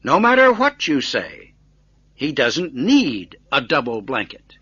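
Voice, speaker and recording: A man playing a cold, sharp young woman. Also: medium pitch, huge pitch swings, slow, husky, dry recording, dull audio, exaggerated voice. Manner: cold, cutting.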